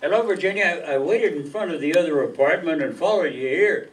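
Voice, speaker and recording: A man's voice: on the high side, expressive, a little fast, natural voice. A man playing an indignant elderly swindle victim in 1940s radio drama style, with a formal manner. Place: live stage reading in a meeting room. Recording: distant mic, noisy hall.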